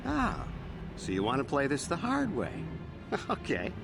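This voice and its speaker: A man's voice: on the high side, wildly swooping pitch, a little slow, creaky, music in the background, exaggerated voice. A man playing a smug, threatening debt collector for a crime boss in a video game. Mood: menacing. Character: menacing crime-boss enforcer collecting debts, smug bully.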